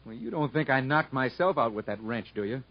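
An actor playing a stubborn, plain-spoken convict gunsmith with a rural American accent in a 1950s radio drama. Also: male voice, mid-range voice, animated, brisk. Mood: pleading, insistent. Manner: folksy, ungrammatical, earnest speech. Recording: muffled vintage 1950s radio recording.

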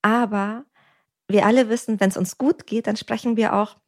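This is a woman therapist talking through ideas in a podcast chat. Her voice sits at a middle pitch for a woman. She speaks reflectively, leaning on everyday examples and frequent ums. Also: fairly even intonation, normal speed, a little mellow. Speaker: couples therapist. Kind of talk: podcast conversation.

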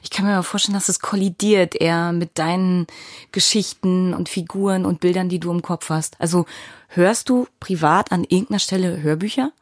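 Lower-pitched woman hosting a podcast interview. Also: fairly quick, no echo, studio quality. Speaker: podcast host interviewing a guest. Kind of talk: conversational interview. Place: studio.

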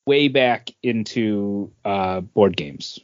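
A man's voice: on the low side, very slow, dry recording. A man co-hosting a podcast in casual chat, playful and teasing. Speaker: podcast co-host. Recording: dry dull lo-fi recording.

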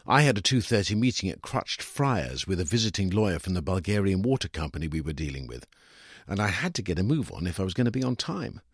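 A British man reading a literary short story in an expressive, wry first-person storytelling manner, with a husky voice. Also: low voice, fast talker, dry recording, studio quality. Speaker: story reader.

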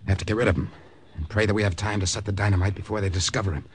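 Determined American saboteur, male actor, lowish voice, clipped orders, classic radio drama style. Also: steady tone, very fast, slight background noise, close to an everyday voice. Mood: tense, determined. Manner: clipped, decisive. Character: resolute young American secret agent on sabotage mission. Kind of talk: old-time radio drama acting. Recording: old radio drama recording.

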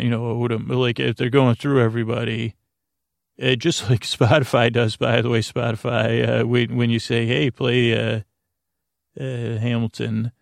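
A man hosting a sleep podcast in a rambling, halting monologue, trailing off and interrupting himself with whimsical, self-deprecating humor. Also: mid-range voice, gravelly, dry recording, studio quality. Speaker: podcast host.

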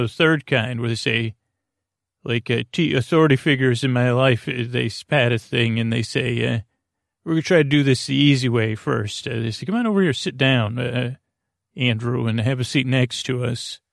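Creaky-voiced man rambling soothingly. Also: medium pitch, moderate pace, dry recording, studio quality. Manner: meandering and hesitant, with repeated words and tangents; lulling, gentle reassurance. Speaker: host of a bedtime sleep podcast. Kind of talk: rambling, soothing bedtime monologue.

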